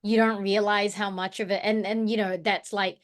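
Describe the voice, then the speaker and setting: A woman's voice: mid-range voice, flat delivery, moderate pace. A woman with a New Zealand accent talking informally in an online workshop, thinking aloud as she co-presents. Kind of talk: casual reflective discussion in an online workshop. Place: online video call.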